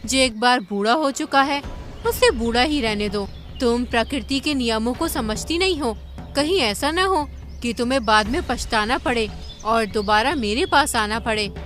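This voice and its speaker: Woman as high-pitched, proud magical eagle. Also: lively intonation, normal speed, fairly bright tone, soft background music. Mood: solemn warning. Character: solemn, mysterious magical female eagle.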